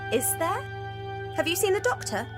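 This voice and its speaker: A woman's voice: very high-pitched, sing-song, moderate pace, loud music behind the voice. A woman playing a gloating, obsessive identity thief, sweetly mocking and possessive, in an audio drama. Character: unhinged, gloating woman stalker stealing another's identity.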